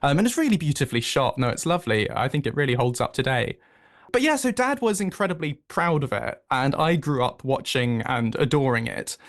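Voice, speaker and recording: A man's voice: mid-range voice, lively intonation, brisk. A British man speaking enthusiastically and effusively as a guest in a conversational radio interview. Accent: British English. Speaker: interview guest, audio drama producer.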